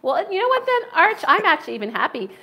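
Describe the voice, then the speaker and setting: A woman's voice: extremely high pitch, sing-song, quick pace, gravelly, sharp tone. Middle-aged woman, Philadelphia accent, chatty cooking host, home kitchen, camera across the room, faint hiss. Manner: chatty, laughs often, talks while cooking. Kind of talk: live-stream cooking demo. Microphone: far camera.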